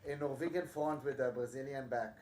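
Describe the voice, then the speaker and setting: A man from Israel chatting casually as a guest on a livestream, easygoing and quick to joke. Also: mid-range voice, a little mellow, a little hiss or hum. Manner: easygoing, joking, laughs often. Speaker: stream guest. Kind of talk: casual chat. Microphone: computer microphone.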